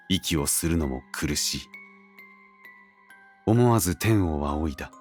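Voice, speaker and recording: An actor playing a grim, frightened assassin, narrating his inner thoughts gravely and dramatically in a fantasy audio drama. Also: sits low. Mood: despairing.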